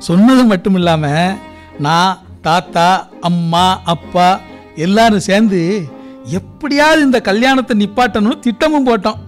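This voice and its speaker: An actor playing a scheming, taunting younger brother in a radio drama. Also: male voice, sits high, moderate pace, soft background music, close to an everyday voice. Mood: gloating.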